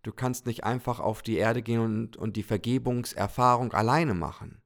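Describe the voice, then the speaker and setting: Man doing God, calm, patient, kindly, gently explaining, storybook podcast. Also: medium pitch, normal speed, close to an everyday voice.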